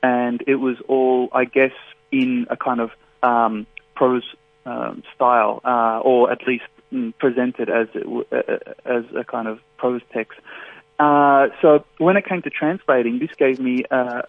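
A man's voice: medium pitch, fairly even intonation, a little slow, no room sound. A man whose first language is Farsi, speaking as a translator in a radio interview, thoughtful and full of fillers and restarts. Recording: phone-like line.

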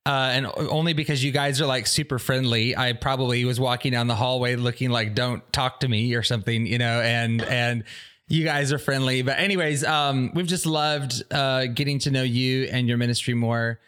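A man's voice: medium pitch, steady tone, moderate pace, fairly bright tone, clear, resonant tone. Friendly man, enthusiastic. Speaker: pastor, podcast host. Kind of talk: casual interview conversation.

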